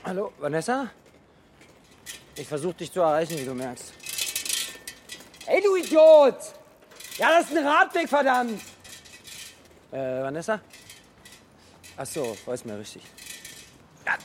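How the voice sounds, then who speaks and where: An actor playing a harried, hot-tempered cyclist on the phone, switching between yelling and muttering in a radio drama. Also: medium pitch, wildly swooping pitch, unhurried, pauses often, a little hiss or hum. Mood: furious. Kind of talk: naturalistic radio drama acting.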